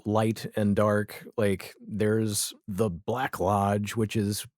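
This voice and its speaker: Male podcast guest, lowish voice, dry close recording, casual and halting storyteller. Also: little pitch movement, darkish tone, no echo. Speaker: podcast guest, audio drama creator. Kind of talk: casual chat.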